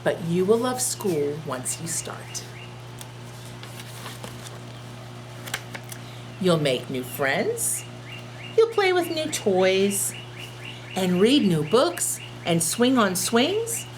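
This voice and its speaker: A woman voicing a loving, reassuring mother raccoon in a storybook, with a gentle, soothing manner. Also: medium pitch, big pitch swings, noisy background. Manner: gentle, slow, soothing phrasing.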